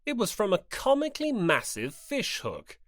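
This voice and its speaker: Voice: mid-range voice, wildly swooping pitch, moderate pace, a bit bright, no room sound, studio quality. An audiobook narrator reading scripted story narration in the third person.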